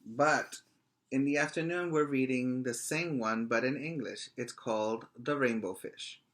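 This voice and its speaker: Slow, bright-voiced male teacher reading to children. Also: medium pitch. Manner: addresses young children directly, simple deliberate phrasing. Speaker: preschool teacher reading aloud. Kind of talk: slow, expressive children's picture-book read-aloud.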